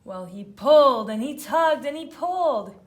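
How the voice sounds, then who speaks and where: A woman narrating a children's storybook read-aloud with expressive emphasis. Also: high-pitched, measured pace.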